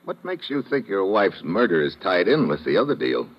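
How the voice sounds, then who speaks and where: An actor playing a blunt, skeptical American investigator in an old-time radio drama, terse and probing in a colloquial way. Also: male voice, low voice, big pitch swings, normal speed, full character voice. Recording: muffled vintage radio recording.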